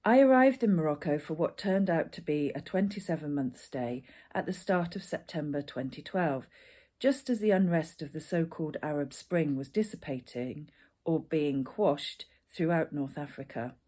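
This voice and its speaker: A woman's voice: deep voice, expressive, moderate pace, warm tone. A woman reading a personal narrative aloud as its author. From Edinburgh, Scotland. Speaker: author reading their own essay.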